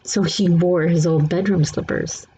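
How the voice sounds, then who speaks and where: A woman's voice: fairly low pitch, steady tone, unhurried, mellow, breathy edge. A woman narrating a children's book aloud as a scripted story, on a slightly dull, faintly noisy recording.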